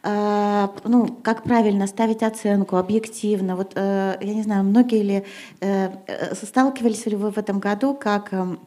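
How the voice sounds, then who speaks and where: A middle-aged woman teacher talks through a panel discussion with a mellow, dark voice. She stumbles over fillers and restarts, then leans hard on her key words. Her pitch sits in an ordinary middle range. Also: steady tone, moderate pace. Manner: hesitant, many fillers, rising to emphatic. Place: gymnasium hall in front of an audience.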